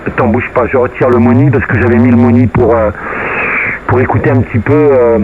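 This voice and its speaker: A man's voice: medium pitch, steady tone, brisk. A man chatting casually as an amateur radio operator over a two-way radio transmission. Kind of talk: casual radio contact chat. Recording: narrow, noisy radio link.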